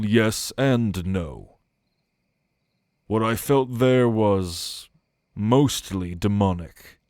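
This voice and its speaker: A man acting a brooding, dutiful ancient celestial being in an ASMR audio roleplay, speaking in a measured, formal, pensive way. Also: on the low side, a little mellow, no echo, clean studio sound. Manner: measured, pensive, formal phrasing with deliberate pauses.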